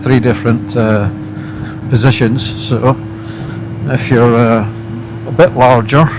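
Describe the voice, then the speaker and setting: A Scottish man talks casually to viewers as he rides a motorbike, reviewing gear off the cuff. His delivery is slow and halting, broken into short phrases with pauses between them. His mid-pitched voice sits in clear wind and engine noise. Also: fairly even intonation. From Scotland. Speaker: motorcycle vlogger. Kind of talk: casual unscripted product review while riding. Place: outdoors on a moving motorbike.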